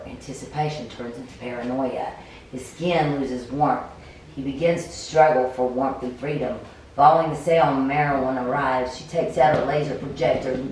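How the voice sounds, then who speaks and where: A woman's voice: a bass voice, a little mellow. A woman doing a cold read of a story game aloud, stumbling over words and adding casual comments. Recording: very echoey room, distant mic, faint noise.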